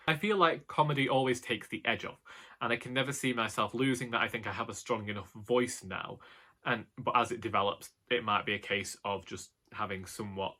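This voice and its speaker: A man's voice: mid-range voice, bright, forceful tone. A young man, an author, talking casually and wryly about his writing plans and questioning himself as he goes. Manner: musing, self-questioning, wry. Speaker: author and book vlogger. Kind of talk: casual reflective monologue.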